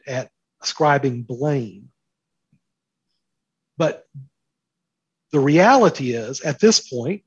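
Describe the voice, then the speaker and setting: Male interview guest, slightly high and rough-edged, emphatic explainer of big ideas, close dry lo-fi sound. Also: no echo. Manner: explains with analogies and rhetorical questions. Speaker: interview guest, market and politics commentator.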